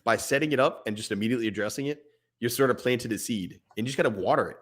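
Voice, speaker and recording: A man's voice: medium pitch, very fast. A man co-hosting a fatherhood podcast, talking casually and animatedly, acting out little scenes with his kids and laughing often. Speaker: podcast co-host. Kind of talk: casual parenting advice chat.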